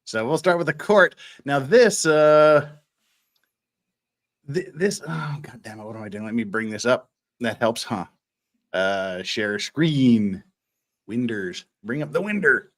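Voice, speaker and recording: A man's voice: mid-range voice, a little slow, fairly bright tone. A man hosting a casual livestream, rambling and repeating words as he thinks aloud. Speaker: host of an online show.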